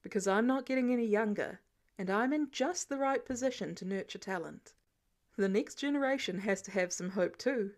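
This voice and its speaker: A woman's voice: medium pitch, moderate pace, lightly acted. A woman reading an audiobook, voicing a confident, teasing older male inventor mentor. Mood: decisive, persuasive. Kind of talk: audiobook character dialogue.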